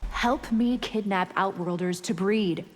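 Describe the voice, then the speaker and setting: A woman's voice: mid-range voice, unhurried, noisy background, close to an everyday voice. An actress playing a cold, scheming warrior woman in a fighting game, haughty and sly in manner. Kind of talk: dramatic video game dialogue. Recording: long reverb.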